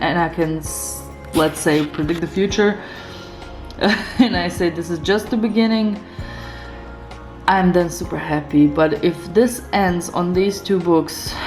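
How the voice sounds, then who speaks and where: A woman in her thirties, a Serbian native speaker, thinks aloud in a casual vlog, pausing often and trailing off into soft laughs. Her voice sits fairly low. It is recorded by a desk camera in an echoey studio room with faint music underneath. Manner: reflective, hesitant, many fillers, laughs softly. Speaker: illustrator vlogger.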